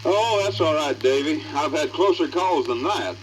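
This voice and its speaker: Folksy, animated American backwoods frontiersman played by a man. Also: a little high, normal speed, lightly acted. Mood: amiable. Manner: folksy drawling, unflappable good humor. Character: big, easygoing backwoods frontiersman in coonskin cap. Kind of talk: 1950s children's record voice acting. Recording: old 78rpm record, light hiss.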